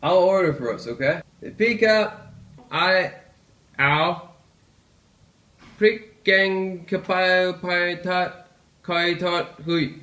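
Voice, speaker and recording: A man's voice: sits high, extremely slow, pauses often, bright, forceful tone, echoey room. A young man playing an overconfident learner who fumbles foreign words in a comedy skit, filmed indoors. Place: indoor room.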